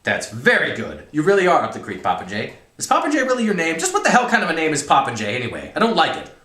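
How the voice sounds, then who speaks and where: A man voicing a furious, bullying military colonel from a novel, shouting and badgering in exasperation. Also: a little high, wildly swooping pitch, fast, reverberant, pitched above the performer's own voice, heavily acted. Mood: sneering, menacing.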